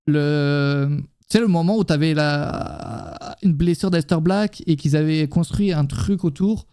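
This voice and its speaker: A man's voice: a little high, a little slow, dark tone, smooth. A man co-hosting a casual live-stream chat, talking in an informal, slangy way with casual swearing. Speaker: wrestling talk show co-host.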